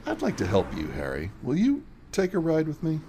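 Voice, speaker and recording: An actor playing a kindly but haunted reformed man in a radio drama, speaking earnestly and a little haltingly. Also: fairly high pitch, wildly swooping pitch, mellow, a little hiss or hum.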